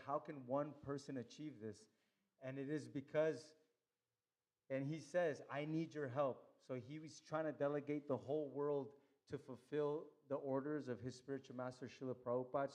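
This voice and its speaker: A man's voice: medium pitch, darkish tone. Earnest man hosting a temple celebration. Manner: earnest, grateful, filled with "uh". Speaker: event host. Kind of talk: speech introducing speakers. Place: temple hall.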